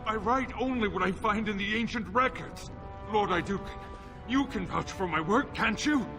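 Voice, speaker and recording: An actor playing a principled, stubborn but humble historian in a fantasy game, speaking formally and earnestly. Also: male voice, high voice, moderate pace. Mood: pleading. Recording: music behind the voice.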